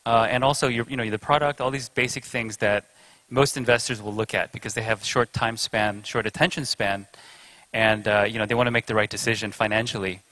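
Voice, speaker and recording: A man explains his approach on a panel in a mid-range voice. The tone is bright and forceful, and the sound is dry with no room around it. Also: fairly low pitch, fairly even intonation, fairly quick, dry recording. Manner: conversational and explanatory. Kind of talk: conference panel discussion.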